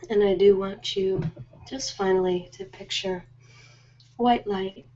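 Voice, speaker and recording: A woman playing a gentle, nurturing spa healer in an ASMR role play, soft-spoken, soothing and unhurried. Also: medium pitch, dull audio. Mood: calm, ritual.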